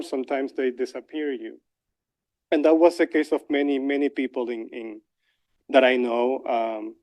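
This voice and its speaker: Venezuelan-accented young man, Spanish native, lecture storyteller, halting and mid-pitched, plain delivery. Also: darkish tone. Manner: halting, frequent fillers and restarts.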